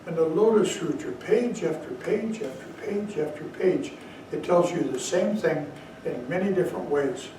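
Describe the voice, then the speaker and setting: A man's voice: on the high side, dark tone, slight background noise. Man telling a Zen story in an echoey hall. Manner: storytelling, bursts of emphasis. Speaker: Zen teacher. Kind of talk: dharma talk. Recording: very echoey hall, mic far off.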